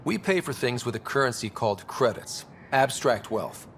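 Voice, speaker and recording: An actor playing an earnest, easygoing young human explorer in a video game, with a polite, wry, conversational manner. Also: male voice, mid-range voice, expressive, moderate pace, fairly bright tone, a little hiss or hum. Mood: calm, wry. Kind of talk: video game dialogue acting.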